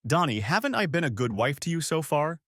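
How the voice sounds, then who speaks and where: A tearful, whiny young wife begs to be forgiven, as a man voices her in a raised pitch. Her voice swings up and down, and the recording is dry and close. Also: sing-song, a little fast, a bit bright, dry recording. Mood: pleading. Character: distraught pleading young wife.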